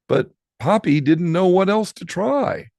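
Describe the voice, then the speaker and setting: A man narrates a picture book for children in an expressive, lively style. He takes it slowly with dramatic pauses, and his voice has a gravelly creak. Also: sits high, dry recording, clean studio sound. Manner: dramatic pauses, drawn-out key words. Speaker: storybook reader.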